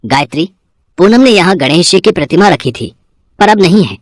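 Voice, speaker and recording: An actor playing a kindly, concerned, devout father in a cartoon moral story, gentle and expressive. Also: high voice, normal speed, no room sound, clean studio sound.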